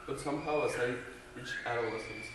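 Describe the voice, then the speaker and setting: An earnest young man from Guatemala gives a personal faith testimony to a group, pausing often, restarting sentences and filling gaps with ums. He speaks without a mic, so his voice sounds distant. The room is echoey and has faint ambient noise behind him. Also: medium pitch. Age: young adult. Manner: hesitant, earnest, many fillers and restarts. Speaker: student giving testimony. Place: large room with an audience. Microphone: no mic, distant recorder.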